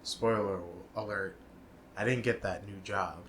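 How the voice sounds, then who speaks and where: A young adult man co-hosting a casual podcast, talking in a halting, hesitant way with many fillers and restarts. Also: on the low side, steady tone, a bit bright, a little hiss or hum. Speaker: podcast co-host and video editor. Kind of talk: casual chat.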